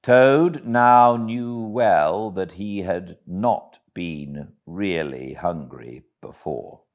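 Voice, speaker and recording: A British man narrating a classic storybook as an audiobook reader, with expressive delivery. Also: low voice, drawn-out words. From Britain.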